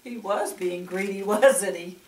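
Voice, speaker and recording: An older woman reads a picture book aloud to children in a grandmotherly way, pausing for chatty asides and coaxing questions. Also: mid-range voice, darkish tone, slight background noise. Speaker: storyteller reading to children. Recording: echoey room, distant mic.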